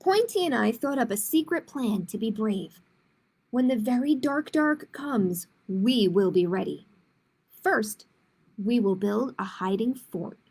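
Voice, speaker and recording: A woman's voice: on the high side, animated, unhurried, a little mellow, slightly rough, heavily acted. A woman voicing a small, nervous young storybook dinosaur who tries to be brave, in an earnest, dramatic way.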